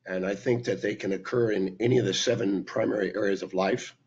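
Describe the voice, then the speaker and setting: An older man with a hoarse, raspy voice from laryngitis, giving an emphatic teaching talk to a webcam. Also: lowish voice, steady tone, brisk, a little mellow. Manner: emphatic, lecturing, lists points with hand gestures. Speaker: speaker and teacher. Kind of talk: talking to camera, teaching talk. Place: indoor room at home. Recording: dull lo-fi webcam sound.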